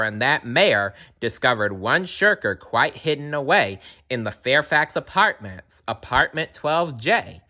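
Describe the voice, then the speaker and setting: A man's voice: medium pitch, bright, forceful tone. A man reading a rhyming picture book aloud with a playful, rhythmic delivery. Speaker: storybook reader.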